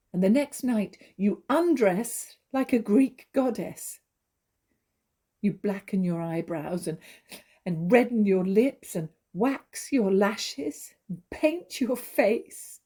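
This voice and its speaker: An actress playing a naive, jealous young wife, pleading and accusing in stagey dramatic dialogue. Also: female voice, medium pitch, mellow, breathy edge. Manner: imploring, emotional, accusatory.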